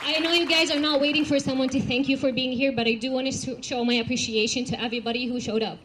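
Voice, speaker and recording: A woman's voice: high voice, steady tone, fast, background noise. A young woman from Gaza speaking at an outdoor rally, telling personal stories earnestly with fillers and restarts. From Gaza, Palestine. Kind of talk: outdoor protest speech.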